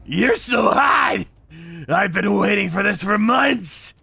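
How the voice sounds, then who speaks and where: A man's voice: high voice, lively intonation, slow pace, vocal fry, sharp tone, shouting, slight background noise, voice pushed up, exaggerated voice. A man voicing a sadistic, spoiled young rich villain in a manga story, lecherous, leering and explosive. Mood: gloating, crazed.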